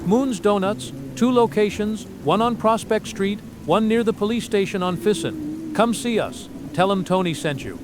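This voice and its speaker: High-voiced folksy shop owner ad. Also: male voice, animated, normal speed, noisy background. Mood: upbeat pitch. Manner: folksy sales pitch. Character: friendly neighborhood donut shop owner pitching his store. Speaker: donut shop advertiser. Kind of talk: local radio commercial in an audio drama.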